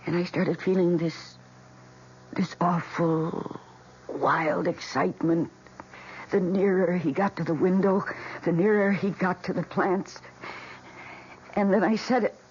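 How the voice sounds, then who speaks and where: A woman's voice: on the low side, steady tone, a little slow, long pauses, darkish tone, lightly acted. A woman with an American accent playing a fragile, obsessive young housewife in a radio drama, with intense, dramatic delivery. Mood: haunted, confessing. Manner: intense, dramatic, breathy emphasis. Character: fragile, obsessive housewife confessing her killer plants' deed. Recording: dull, noisy old radio recording.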